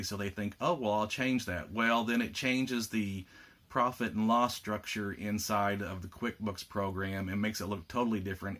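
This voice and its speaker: A man with a slightly rough voice, giving a casual video update to lodge officers, plainspoken with frequent fillers. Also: on the low side, fairly bright tone. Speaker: state lodge administrator giving members an update.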